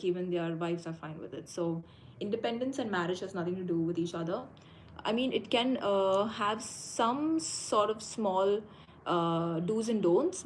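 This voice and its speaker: Brief: young woman, fairly low voice, casual livestream chat, hesitant with frequent fillers, faint room hum. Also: darkish tone, low-quality recording. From India. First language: Konkani. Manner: hesitant, many fillers and restarts. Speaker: livestream host. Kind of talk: casual live Q&A. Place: indoors, abroad while traveling.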